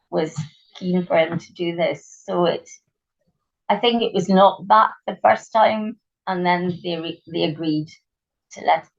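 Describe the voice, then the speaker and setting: Croaky, low-voiced woman on a dull line. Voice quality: gravelly. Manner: thoughtful, trails off with ums. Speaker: interview guest, writer and actress. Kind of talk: relaxed conversational interview. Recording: dull lo-fi remote audio.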